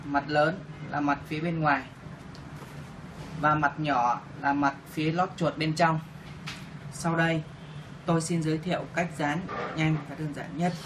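A man giving step-by-step tutorial instructions as a demonstrator. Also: on the high side. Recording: clearly noisy room recording.

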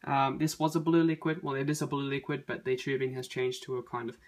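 A man's voice: mid-range voice, little pitch movement, quick pace. A man in his thirties giving a casual handheld walkthrough of a computer build at home, filled with ums and uhs, recorded on a phone camera. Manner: hesitant, many ums and uhs. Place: home room indoors. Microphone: phone camera held in hand.

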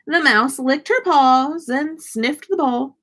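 Woman, high lively voice, expressive picture-book narration, dramatic emphasis, unhurried. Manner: animated, dramatic emphasis for young listeners. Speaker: teacher reading a picture book aloud. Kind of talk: expressive children's storybook narration. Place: small indoor room, seated.

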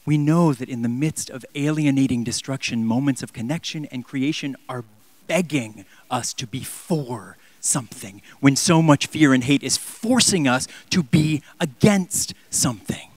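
A man in his thirties preaching a sermon in a church on a handheld mic, impassioned, swinging from loud emphatic peaks down to near-whispers. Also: mid-range voice, measured pace, dry recording. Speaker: pastor. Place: large church sanctuary.

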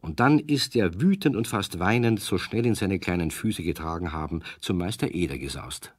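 A man narrating a children's radio play in a calm, friendly storytelling way. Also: low voice, moderate pace, a little hiss or hum.

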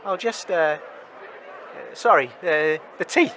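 A man's voice: a little high, unhurried. A man playing a bumbling, flustered roving reporter in a comedic radio drama. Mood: flustered, curious. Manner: flustered, hesitant, excitable. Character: excitable, bumbling male wasteland roving reporter. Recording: outdoor crowd ambience, faint noise.

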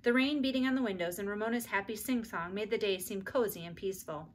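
A middle-aged woman reading a children's book aloud in expressive storybook narration, recorded in a room at home. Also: medium pitch, fast, slight background noise. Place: small indoor room at home.

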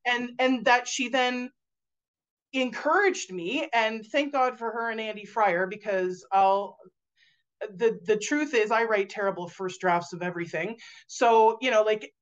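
Loud animated woman on a video call. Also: fairly high pitch, measured pace, lo-fi sound. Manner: loud, animated, many fillers and restarts. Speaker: guest author. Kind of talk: casual video call interview. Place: home room indoors. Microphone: video call webcam.

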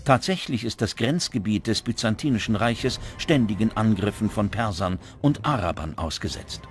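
A man's voice: fairly low pitch, animated, normal speed. A man reading scripted documentary narration as narrator. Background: music under the voice.

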